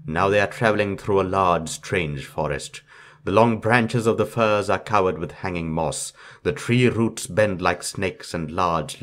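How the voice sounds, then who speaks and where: A man reading a fairytale aloud as a storyteller, in scripted narration. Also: deep voice.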